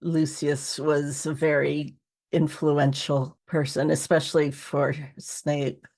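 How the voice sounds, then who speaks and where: A woman's voice: low voice, little pitch movement, slow, a little mellow. A woman hosting a podcast, interviewing a guest in a casual, chatty style.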